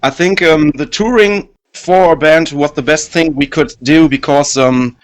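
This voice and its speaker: A man in a band who sings and plays guitar, answering interview questions in a hesitant, halting way with many fillers. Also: a little high. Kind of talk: casual interview.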